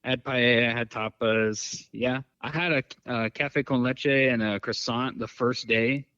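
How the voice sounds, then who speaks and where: Give me a man telling rambling travel stories in a podcast chat, mid-pitched voice with a dull, dry sound. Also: fairly even intonation, measured pace, sharp tone, no echo. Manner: rambling, filler-heavy storytelling. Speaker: podcast guest. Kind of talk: casual chat.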